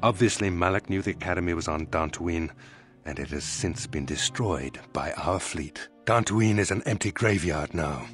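A man's voice: low voice, normal speed, soft background music, close to an everyday voice. An actor playing a cold, smug older male fleet admiral in a sci-fi game, speaking with formal, condescending menace. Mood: impatient, threatening. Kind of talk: dramatic video game acting.

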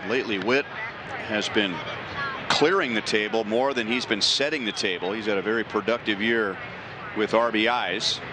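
A man calling a baseball game play by play from the broadcast booth, steady and informative. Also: lowish voice, normal speed, noisy background. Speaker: play-by-play announcer.

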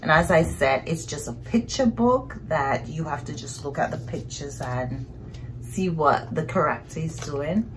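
A woman's voice: deep voice, animated, measured pace, slight background noise, low-quality recording. A middle-aged woman telling a picture-book story to young children, expressively, with exclamations and questions. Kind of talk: children's picture book read-aloud, improvised narration with questions. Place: small indoor living room, seated on a couch.

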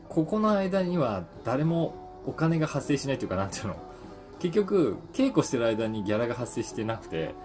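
A man in his forties chatting casually and reflectively to the camera, pausing to think as he goes. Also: mid-range voice. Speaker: voice actor and stage actor, channel host. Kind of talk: casual solo talk to camera, daily column.

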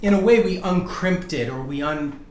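Male chiropractor, fifties, casual explainer, slightly high voice, noisy small room. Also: moderate pace. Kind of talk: casual hands-on explanation to a patient. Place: small treatment office, indoors. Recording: echoey room, background noise.

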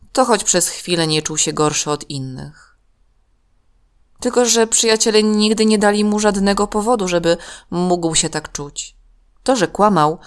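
A woman narrating a children's story from a script in an expressive, clearly enunciated way. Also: on the low side.

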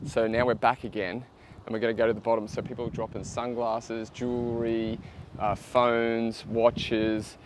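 A man's voice: medium pitch, normal speed. A young Australian man vlogging his treasure hunt, chatty and eager, laughing now and then. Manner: excitable, gasping and laughing at finds. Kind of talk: casual vlog narration. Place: outdoors by a flooded quarry, in a van, and underwater. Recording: noisy outdoor camera audio.

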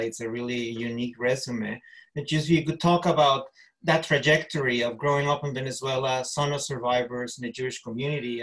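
Guy asking a question on zoom, stammery, halting. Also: mid-range voice, low-quality recording. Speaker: audience member asking a question. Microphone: video call.

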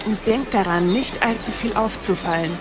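A woman's voice: mid-range voice, moderate pace, close to an everyday voice. A woman playing a sensible, bossy adventurer in a fantasy audio drama. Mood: firm. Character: sensible, bossy female adventurer keeping companions in line. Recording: music and crowd bustle behind.

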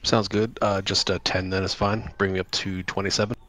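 A man playing in a tabletop game who has a cold and sounds a bit raspy, giving brief, easygoing replies. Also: low voice, level tone, a little fast, a bit bright. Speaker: player. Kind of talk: casual tabletop game session over voice chat.

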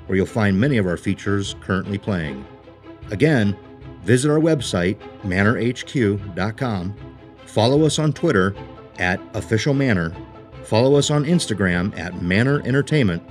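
A man reading a scripted promotional announcement as an announcer. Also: on the low side.

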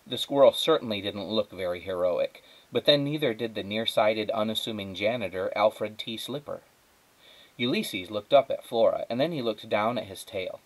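A man's voice: fairly low pitch, normal speed, poor audio. A man reading a children's storybook aloud as a teacher, lightly acting out the dialogue.

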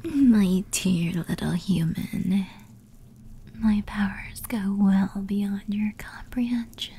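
A woman voicing a seductive, menacing female demon who toys with her prey in a teasing, breathy ASMR roleplay whisper. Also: medium pitch, mellow, slight background noise. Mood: teasing menace.

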